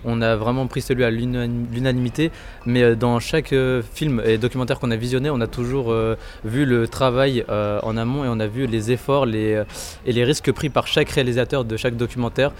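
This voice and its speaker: A man's voice: medium pitch, fairly even intonation, brisk, a little hiss or hum. A teenage boy on a student jury, answering earnestly and often restarting words. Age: teens. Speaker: student juror. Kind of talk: interview answers.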